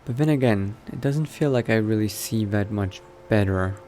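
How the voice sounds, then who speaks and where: A man playing a newly turned vampire in a game, thinking aloud in character in a musing, unhurried manner with trailing phrases. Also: lowish voice, warm tone. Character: newly turned vampire exploring new powers, musing adventurer. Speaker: roleplaying game player. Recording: noticeable background noise.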